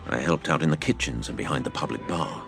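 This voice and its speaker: An actor playing a fond, wry middle-aged father who tells a tale in a measured, expressive way in an audio drama. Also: extremely low pitch, creaky, music in the background. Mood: reflective storytelling. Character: fond, wry father, storytelling former innkeeper's son.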